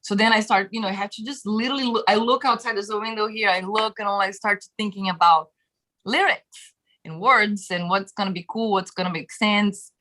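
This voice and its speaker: Bright-voiced Brazilian woman, animated chat. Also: mid-range voice, moderate pace. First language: Portuguese. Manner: animated, laughs often, many fillers. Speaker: guest musician. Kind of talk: casual interview chat. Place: bedroom home studio, indoors. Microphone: webcam video call.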